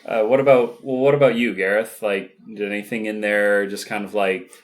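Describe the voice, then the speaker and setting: A man's voice: on the low side, fairly even intonation, normal speed. A young man co-hosting a casual movie podcast, rambling and hedging with fillers. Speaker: podcast co-host.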